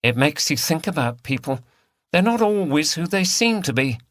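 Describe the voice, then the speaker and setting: A man's voice: a little high, very animated, normal speed, a bit bright, no room sound, studio quality, pitched above the performer's own voice. A man voicing an earnest, outspoken woman activist in an audiobook, with a frank manner. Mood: musing. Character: earnest, feisty, outspoken female countryside activist. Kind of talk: audiobook character dialogue.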